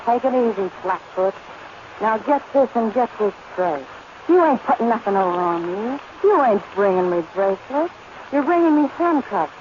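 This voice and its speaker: A woman's voice: a little high, lively intonation, moderate pace, darkish tone, close to an everyday voice. A woman playing a tough, flirtatious saloon singer with slangy, wisecracking drawl in a 1930s radio comedy. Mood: tough, unimpressed. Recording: muffled, noisy 1930s radio recording.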